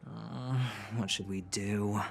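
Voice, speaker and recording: An actor playing a cocky, scheming gambler in a video game, with a wry, teasing and theatrical delivery. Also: male voice, on the low side, fairly even intonation, slow, creaky, a little hiss or hum. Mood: intrigued, calculating. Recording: background music behind dialogue. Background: game music.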